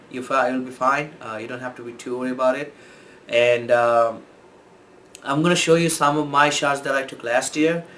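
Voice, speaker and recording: A man's voice: mid-range voice, a little hiss or hum. Laid-back photographer man, lots of ums. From Bangladesh. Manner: relaxed, rambling, many fillers and restarts. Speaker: photographer and host. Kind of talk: casual explainer talk.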